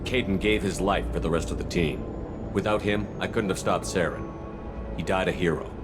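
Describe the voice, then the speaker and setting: A man's voice: sits low, steady tone, moderate pace, close to an everyday voice. An actor playing a battle-hardened male commander who talks in terse, guarded questions. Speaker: military commander. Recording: game audio with music score.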